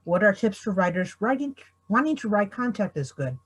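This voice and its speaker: A woman's voice: medium pitch, very animated, brisk, slightly rough, low-quality recording. A woman acting as panel moderator, speaking conversationally as she runs an online discussion. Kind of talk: relaying audience questions in a panel.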